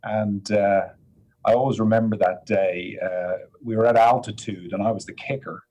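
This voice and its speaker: Man, fifties, lowish voice, easygoing, lots of ums. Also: fairly even intonation, normal speed, warm tone, poor audio. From Ireland. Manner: easygoing, hesitant, many fillers. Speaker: guest. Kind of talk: casual interview answers.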